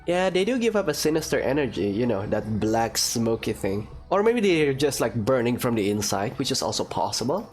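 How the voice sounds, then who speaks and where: A man giving casual let's play commentary, talking haltingly as he thinks aloud and adds wry asides. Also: mid-range voice, big pitch swings, a little mellow. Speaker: gaming YouTuber. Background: game music.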